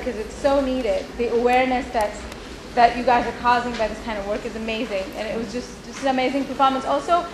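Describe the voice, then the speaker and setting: Woman, audience member, gushing and heartfelt, slightly high voice, echoey hall, room noise. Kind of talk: praising the performers.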